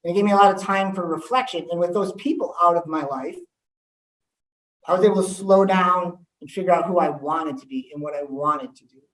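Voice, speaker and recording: A man's voice: sits high, brisk, fairly bright tone. A man, giving a candid talk to students as an assistant principal, with frequent ums. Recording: echoey room, voice from far off.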